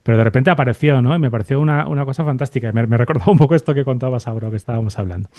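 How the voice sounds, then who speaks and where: A man's voice: medium pitch, racing, dark tone, no room sound. A man with a Spain Spanish accent hosting a podcast interview, thinking aloud in a casual, reflective way and often checking for agreement. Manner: thinks aloud, seeks agreement with tag questions. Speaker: podcast host interviewing a guest.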